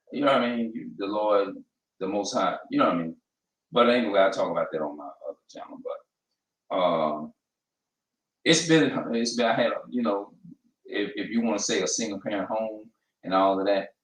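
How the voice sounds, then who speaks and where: Low-voiced New man, rambling. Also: fairly quick, far from the mic. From New Orleans, USA. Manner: rambling, hesitant, many restarts and fillers. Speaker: channel host. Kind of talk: casual vlog talk. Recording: echoey room, voice off-mic.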